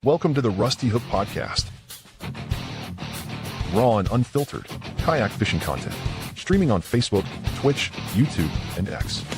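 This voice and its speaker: A man's voice: lowish voice, sing-song, a little slow, long pauses. A man reading a scripted promotional intro as a podcast announcer, over guitar music.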